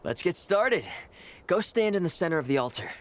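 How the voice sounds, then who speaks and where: An actor playing a driven, scheming ritual caster in a fantasy video game, with a measured, assured, explanatory delivery. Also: male voice, high-pitched, big pitch swings. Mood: calm, instructing. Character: driven, scheming adult male ritual caster, outwardly composed. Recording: music under the voice. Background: game music.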